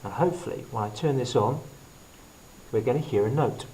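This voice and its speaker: A man presenting a hands-on science demonstration as a teacher, explaining to camera in short, deliberate phrases. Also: medium pitch, dark tone. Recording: clearly noisy recording with clicks.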